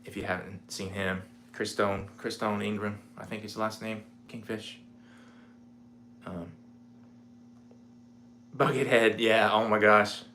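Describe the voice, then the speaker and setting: Mellow-voiced man in his forties chatting. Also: fairly low pitch, slow, pauses often, a little hiss or hum. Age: 40s. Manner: rambling, relaxed, many fillers. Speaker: livestreaming guitarist. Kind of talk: casual livestream chat. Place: home. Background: guitar playing.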